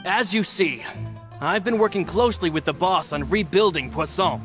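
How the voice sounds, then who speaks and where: A man's voice: high-pitched, normal speed, a bit bright. A man playing a polite, dutiful young assistant in a video game, speaking formally and eagerly. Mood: earnest, deferential. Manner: formal, courteous. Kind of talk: scripted game dialogue. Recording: prominent music behind the voice. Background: game music.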